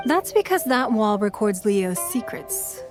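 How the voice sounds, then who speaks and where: A woman's voice: medium pitch, big pitch swings, unhurried, over music. A woman playing a gracious, shrewd and powerful city leader in a video game, speaking in a composed, formal manner. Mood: gracious, probing. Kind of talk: dramatic video game voice acting.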